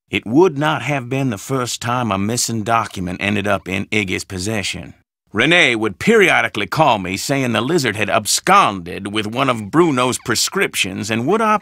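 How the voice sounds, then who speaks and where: An actor playing a pompous older doctor in a video game, speaking in a formal, deliberate, theatrical way. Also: male voice, fairly low pitch, creaky, sharp tone, no echo, studio quality, exaggerated voice. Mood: matter-of-fact. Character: pompous, gloomy old Southern doctor, the dead man's friend.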